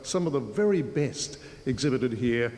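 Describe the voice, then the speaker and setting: Male field reporter, Australian, medium pitch, interviewing on location in an echoing hall. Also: lively intonation, normal speed, darkish tone. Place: indoor art gallery. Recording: reverberant gallery hall.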